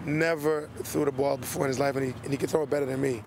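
A man, a football player, talking in an upbeat, relaxed way in an outdoor interview. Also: mid-range voice, quick pace, creaky, very noisy.

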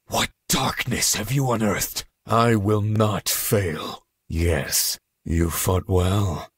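A man playing a grim, imperious necromancer warlord in a fantasy video game, with a raspy, theatrical delivery. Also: fairly low pitch, no room sound, studio quality, heavily acted. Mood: calm, brooding. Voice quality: husky, raspy. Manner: slow, imperious, dramatic, formal diction. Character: grim, commanding undead-raising necromancer warlord.

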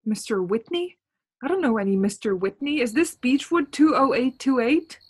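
A woman's voice: high-pitched, lively intonation, normal speed, slightly rough. An actress playing a haunted young woman traveller in a suspense radio play, with a theatrical, brooding and fraught manner. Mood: alarmed. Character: Ronnie Adams, haunted young woman traveller narrating her ordeal. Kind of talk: suspense radio play acting, dramatic monologue. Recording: music bed under home-recorded radio play.

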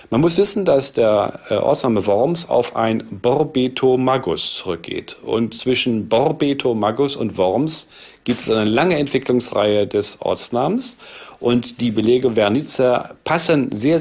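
A man's voice: medium pitch, a little mellow. A man in his sixties, an academic expert, explaining his view in an interview indoors. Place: indoor book-lined study.

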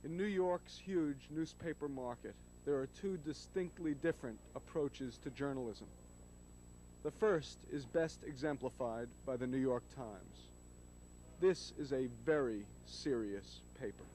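A middle-aged man delivering scripted commentary to camera on a city street, speaking in solemn, measured, emphatic phrases into a handheld microphone. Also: mid-range voice, mellow. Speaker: host, media critic. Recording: noisy old videotape street recording.

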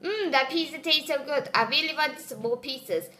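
Woman as a bright, high-voiced eager cartoon girl. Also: moderate pace. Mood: delighted. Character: eager, pizza-loving cartoon girl, quick to blame.

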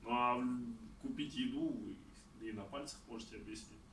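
A man in his thirties from Kazakhstan, talking about travel and answering questions in an animated, gesturing way in a small indoor room. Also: medium pitch, moderate pace. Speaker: traveler giving a talk. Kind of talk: conversational talk answering audience questions. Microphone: far camera. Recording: roomy, distant, faint background noise.

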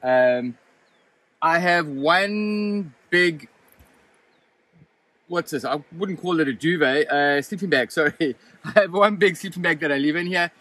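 Male, forties, a touch high-pitched, casual rambling gear-review delivery on a handheld phone. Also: measured pace, pauses often, fairly bright tone, no echo. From Southern Africa. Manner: chatty, rambling, with frequent ums and restarts. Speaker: vlogger reviewing his rooftop tent. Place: inside a rooftop tent, then outdoors beside a vehicle in the bush. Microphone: handheld phone camera at arm's length.

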